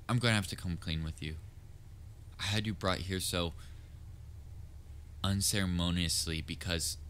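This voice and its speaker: A man's voice: low-pitched, fairly bright tone, a little hiss or hum. A man playing a theatrical, pompous supervillain with dry sarcasm in a comedic audio roleplay. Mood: concerned, exasperated. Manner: pompous, gloating, dryly sarcastic. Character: theatrical male supervillain, pompous yet secretly concerned nemesis. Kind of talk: scripted audio roleplay, comedic villain monologue.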